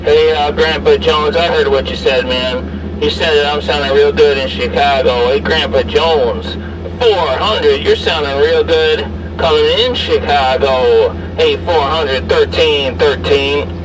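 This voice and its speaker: A man's voice: a little high, steady tone, a little slow, far from the mic. A man doing casual, slangy CB radio chat, repeating handles and call-outs. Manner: repetitive, slangy radio patter. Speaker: CB radio operator. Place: inside a parked or moving SUV. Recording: noisy car interior, away from mic.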